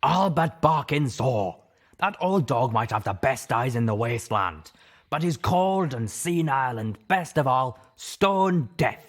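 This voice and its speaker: Guy doing a grumpy monster dog for a story, pitched up, bitter and gruff, swears a lot. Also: expressive, unhurried. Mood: bitter, resentful. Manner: gruff, foul-mouthed, exasperated. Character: scowling, bitter albino hellhound, a monstrous dog.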